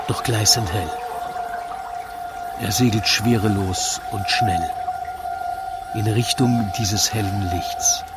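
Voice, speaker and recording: A man narrating a radio play in rhymed verse, with measured, deliberate storytelling. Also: on the low side. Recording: loud music under the voice. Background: loud music.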